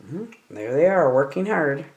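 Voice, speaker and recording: A woman reading a children's picture book aloud in an expressive, deliberate manner as a story time reader. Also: sits low, echoey room, highs cut off. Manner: animated, deliberate.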